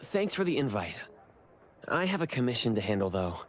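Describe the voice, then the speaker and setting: A man's voice: mid-range voice, big pitch swings, a little fast, lightly acted. Young anime game hero acted by a man, male, reserved, polite, hesitant, understated, middle register. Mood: reserved, puzzled.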